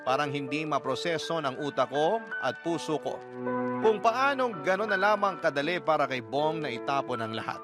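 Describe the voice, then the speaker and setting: A man's voice: a little high, very animated, moderate pace. A Filipino man giving a sorrowful, dramatic first-person scripted narration as a radio storyteller. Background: music.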